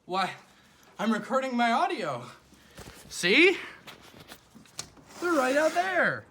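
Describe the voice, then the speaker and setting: Man acting a very high-voiced, intense student director. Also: huge pitch swings, takes it slowly, sharp tone, slightly rough, heavily acted. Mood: exasperated. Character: intense, demanding student audio director scolding his performers. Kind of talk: acted mockumentary. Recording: background noise.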